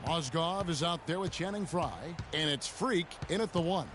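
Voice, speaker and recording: A man's voice: fairly high pitch, normal speed, noisy background, natural voice. A man playing a TV basketball play-by-play announcer in a video game, with a clipped, fluent broadcast delivery. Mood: focused. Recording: game stream with loud music and court sounds. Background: loud music, bouncing basketball.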